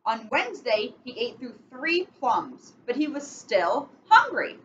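A young woman reads a picture book aloud for children, dropping in casual asides. Her voice sits fairly high and moves around a lot, with emphatic delivery. The webcam recording is somewhat muffled. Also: big pitch swings, unhurried, a bit bright, slight background noise. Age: young adult. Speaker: police officer reading a picture book. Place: indoors in a catering cafe. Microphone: webcam a short distance away.

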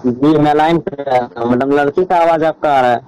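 Insistent man on a phone call, higher voice. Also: fairly quick, darkish tone, smooth. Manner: insistent, repetitive, directive. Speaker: phone caller. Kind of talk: persistent step-by-step phone instructions.

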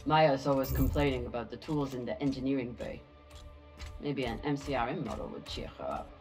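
Game role: male actor as a pragmatic ship's captain, mid-pitched, measured and dry, music underneath. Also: natural voice. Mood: casual.

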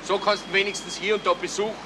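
A man's voice: sits high, little pitch movement, rapid-fire, lightly acted. An actor playing an insistent, exasperated man coaxing a child in a radio drama. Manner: insistent, persuading. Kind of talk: radio crime drama acting. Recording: outdoor street scene, very noisy old recording.

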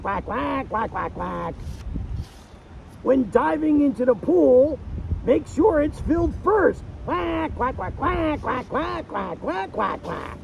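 Casting: wisecracking cartoon duck, silly and goofy, extremely high-pitched, unhurried comic delivery. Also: male voice, creaky, a little hiss or hum, exaggerated voice. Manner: silly, punctuates jokes with quacking. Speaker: stand-in comedy host. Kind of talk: one-liner joke routine with quacks.

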